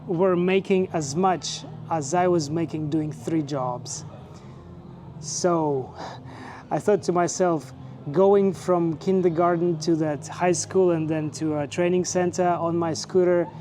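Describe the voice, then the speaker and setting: A man in his forties from Russia, speaking English, telling his own story in a relaxed, measured way with fillers. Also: on the high side, a little hiss or hum. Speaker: vlogger and teacher. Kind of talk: casual personal storytelling.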